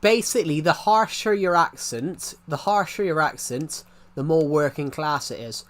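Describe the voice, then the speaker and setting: A man's voice: a little high, moderate pace, a bit bright. A young man from the United Kingdom with a thick regional British accent, chatting casually on a live stream, rambling and restarting his sentences. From United Kingdom, outside London. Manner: rambling, repeats himself, many restarts. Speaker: game streamer.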